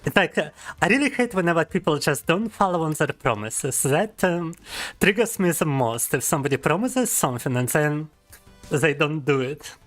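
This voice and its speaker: A man's voice: on the high side, animated, normal speed, a bit bright, faint music behind. Playful young man. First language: Russian. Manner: playful and teasing, reads chat aloud and laughs often. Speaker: VTuber streamer. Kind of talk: casual livestream chat.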